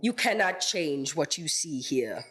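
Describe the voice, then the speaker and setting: A woman playing a solemn, knowing ghostly guide in a radio play, speaking formally and gravely. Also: deep voice, big pitch swings, unhurried, a bit bright, exaggerated voice. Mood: calm, knowing. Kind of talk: theatrical community radio play acting.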